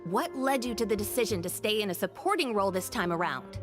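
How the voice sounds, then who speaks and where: A woman's voice: mid-range voice, expressive, quick pace, close to an everyday voice. A woman voicing a persistent female reporter who asks probing questions. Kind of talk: game voice acting. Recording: game dialogue with music behind.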